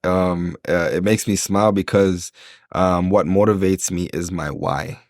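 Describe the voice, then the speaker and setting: A man from Jamaica talks as a podcast guest in a fairly low voice. He sounds earnest and motivational, circling back with fillers and restarts. The recording is dry and close. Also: steady tone, a little slow, a little mellow, no echo. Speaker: entrepreneur guest. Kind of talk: casual interview talk.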